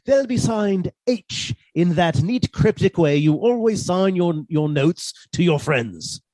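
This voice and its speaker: Guy doing a meek husband gone killer, radio suspense play, high voice, fussy n polite, very dry sound. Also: lively intonation, takes it slowly, warm tone, dry recording. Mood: gloating, menacing. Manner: fussy, precise, politely menacing. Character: henpecked, meek husband turned coolly gloating murderer. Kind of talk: radio suspense drama acting with narration.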